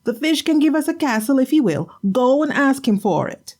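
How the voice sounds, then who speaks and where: Woman putting on a shrill greedy fisherman's wife. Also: very animated, moderate pace, a little mellow. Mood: demanding. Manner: shrill, scolding, insistent.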